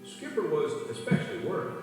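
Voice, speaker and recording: A man reading a children's read-along story as a scripted narrator. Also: brisk. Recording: echoey hall, distant, music behind. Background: music.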